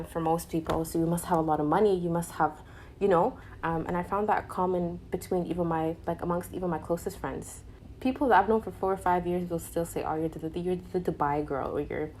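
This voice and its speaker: A young woman being interviewed, talking casually and quickly with fillers. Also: on the low side, a little mellow, slight background noise, poor audio.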